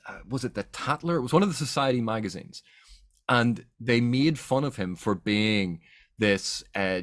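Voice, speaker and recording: A man's voice: fairly low pitch, no echo, studio quality. A man from Northern Ireland with a Northern Irish accent, hosting a book discussion in an explanatory, emphatic way. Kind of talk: animated literary discussion.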